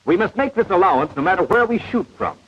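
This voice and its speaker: High-pitched, husky, know-it-all gunnery instructor. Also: male voice, animated, quick pace. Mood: instructive. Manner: didactic, precise, formal. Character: patient, know-it-all male gunnery instructor, precise and unflappable. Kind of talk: 1940s animated training film narration. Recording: dull vintage 1940s film soundtrack.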